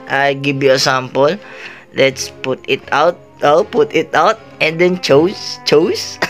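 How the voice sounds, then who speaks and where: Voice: medium pitch, fairly bright tone. A gaming vlogger with a Filipino accent, giving casual gameplay commentary and mixing Tagalog and English. The speaker jokes, giggles and yelps while dodging. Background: game music.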